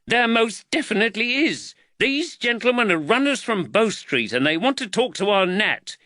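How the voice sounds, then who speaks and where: Shrill exasperated mother, acted by a man, storybook character, high pitch, emphatic scolding, swooping intonation. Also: big pitch swings, normal speed, sharp tone, dry recording, clean studio sound, full character voice. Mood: indignant.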